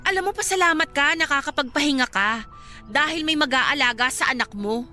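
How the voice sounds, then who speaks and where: A smug, cold-hearted Filipino rival in a radio drama. Her high voice swings widely as she taunts and snaps dismissively, over a music bed. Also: expressive, brisk, sharp tone. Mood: scornful, irritated. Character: smug, cold-hearted young married woman, a scornful rival.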